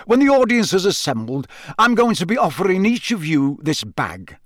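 A man's voice: high voice, big pitch swings, moderate pace, no room sound, studio quality, voice pushed up. Man doing a jovial, blustery wizard official. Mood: jovial, bright. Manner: bright, hearty.

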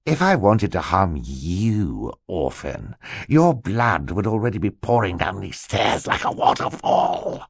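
A man voicing a sly, menacing villain in an audiobook, speaking in a slow, sneaky, sinister way. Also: deep voice, very animated, vocal fry, slightly rough, dry recording, heavily acted. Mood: sneering threat.

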